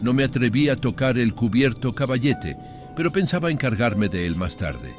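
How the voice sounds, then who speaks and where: A man's voice: mid-range voice, animated, moderate pace, music in the background. A man narrating a horror story in a dramatic first-person audiobook style.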